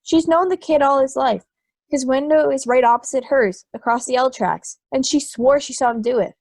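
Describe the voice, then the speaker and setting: A woman's voice: sits high, normal speed, darkish tone, dry recording. Woman playing a hot-headed juror, dry sound. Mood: insistent. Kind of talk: amateur audio drama acting.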